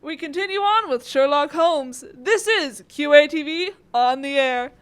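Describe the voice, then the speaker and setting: A woman's voice: sits high, animated, unhurried, a little hiss or hum. A woman announcer reading scripted old-time radio introductions and advertising in a presentational, salesy manner.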